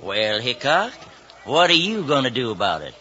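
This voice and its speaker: An actor playing a suspicious, gruff, challenging ranch foreman in an old Western radio drama. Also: mid-range voice, big pitch swings, moderate pace, pushed and bright. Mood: hostile, challenging. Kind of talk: 1950s Western radio acting. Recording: dull old radio recording.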